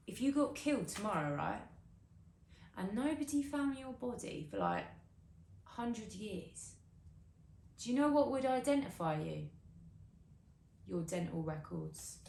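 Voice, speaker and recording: A woman's voice: a little high, a little mellow. Actress doing a young band girl, earnest n slangy, casual interview chat, echoey room, bit of background noise. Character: upbeat, zealous young British musician obsessed with perfect teeth. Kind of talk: monologue performed as a casual interview. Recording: roomy echo, background noise.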